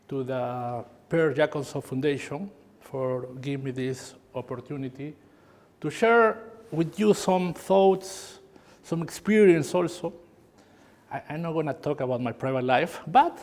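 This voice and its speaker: A middle-aged Peruvian man with a Spanish accent gives a university lecture, talking in halting phrases that repeat words, with friendly asides. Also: fairly high pitch, a little mellow. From Peru. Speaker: guest lecturer. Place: lecture hall. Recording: hall reverb.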